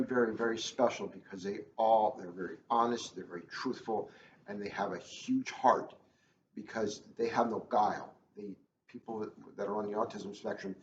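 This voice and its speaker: A man's voice: on the low side, fairly bright tone. A man who works as a school police officer talks casually and earnestly, repeating phrases for emphasis. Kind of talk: casual, earnest talk to children. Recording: roomy, muffled, faintly noisy.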